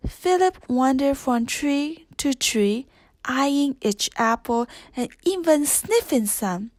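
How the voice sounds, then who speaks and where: A woman narrates a children's read-along story. Her voice is high and animated, and she takes it slowly with expressive storytelling. The recording is close and dry. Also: no room sound.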